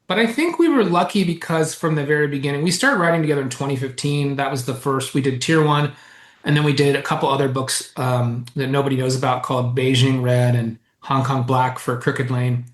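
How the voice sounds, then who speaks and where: Animated author guest in a roomy room. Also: male voice, mid-range voice. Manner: animated, chuckles often, explains at length. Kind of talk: casual interview chat. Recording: roomy echo.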